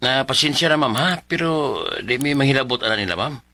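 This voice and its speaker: A man's voice: medium pitch, lively intonation, a little fast, music in the background, natural voice. A man acting an apologetic, unhelpful village official in a radio drama, deferential, with a Cebuano accent.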